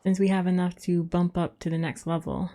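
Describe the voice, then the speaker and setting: A woman's voice: fairly low pitch, little pitch movement, brisk, mellow. A woman streaming a video game, giving casual live commentary as she plays. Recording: game music behind the voice.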